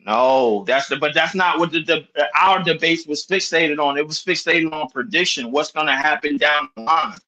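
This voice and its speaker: Brief: male co-host, animated and argumentative, sharp higher voice, street slang. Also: moderate pace, few pauses, poor audio. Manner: animated, argumentative, heavy slang, repeats "bro". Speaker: podcast co-host. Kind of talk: heated casual sports banter.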